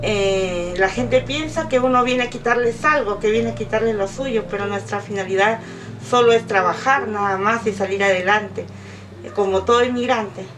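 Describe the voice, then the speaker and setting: A woman in her fifties from Peru, answering interview questions with emphatic, earnest speech. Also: moderate pace. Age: 50s. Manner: emphatic, rising pitch when stressing points. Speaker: interviewed migrant. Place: small indoor office. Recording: distant mic with background guitar music.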